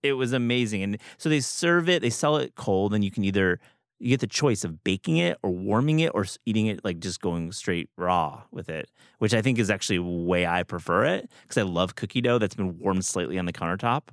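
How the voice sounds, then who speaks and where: A man with a fairly deep voice hosts a relaxed food chat. He talks in loose slang and is openly enthusiastic. The sound is very dry, with no room on it. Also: brisk, dry recording. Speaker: podcast host.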